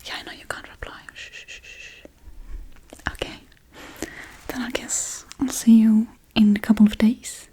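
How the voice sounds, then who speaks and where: Breathy whispering witch, acted by a woman. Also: a little high, little pitch movement. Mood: absent-minded, teasing. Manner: hushed, coaxing, unhurried. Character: playful, forgetful, menacing female witch casting spells. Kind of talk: soft-spoken ASMR role-play.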